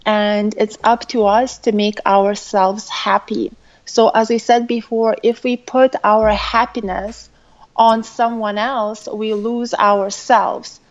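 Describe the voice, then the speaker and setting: A woman with a Lithuanian accent touched by Irish and British speech gives conversational answers as a coach. She takes her time, at a slow pace. The sound is dull and lo-fi, like a remote call. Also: medium pitch. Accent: mixed Lithuanian, with Irish and British influence. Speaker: guest, relationship coach.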